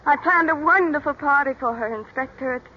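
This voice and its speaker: A weary, devoted mother pours out a confession in a 1940s radio drama. Her voice is high and bright, earnest and full of feeling. The old recording is dull with faint noise. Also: brisk. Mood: confessing. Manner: earnest, emotional, dramatic narration.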